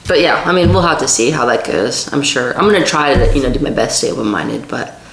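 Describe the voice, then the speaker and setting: A woman co-hosting a podcast in casual chat, sounding nasal from a cold, with a raspy, blunt, filler-heavy way of talking. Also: rumbling low, expressive, fairly bright tone, roomy sound. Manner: blunt, fast filler-heavy talk with many "like"s. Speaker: podcast co-host.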